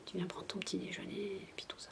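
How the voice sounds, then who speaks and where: A woman voicing a gentle mother who coaxes her child in a tender whisper, as part of a bedtime story roleplay. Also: mid-range voice, flat delivery, fast talker, creaky, lightly acted. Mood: coaxing. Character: gentle mother coaxing her daughter awake. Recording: noisy homemade recording.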